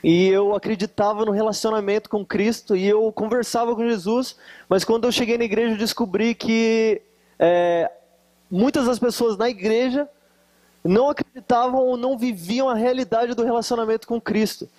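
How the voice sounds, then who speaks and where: A man with a high-pitched voice and a Minas Gerais accent, speaking as a guest preacher at a church conference. He talks in a relaxed, joking way and teases his listeners. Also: moderate pace. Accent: Brazilian Portuguese, Minas Gerais. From Varginha, Brazil. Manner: joking, teasing the audience. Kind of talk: conference sermon with jokes. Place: conference hall with live audience.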